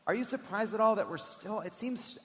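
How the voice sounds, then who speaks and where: A man's voice: high voice, a little fast, a bit bright, husky. Emphatic male host in a reverberant hall. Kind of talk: political talk at a live comedy show. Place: indoor stage before a live audience. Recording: echoey hall, live stage.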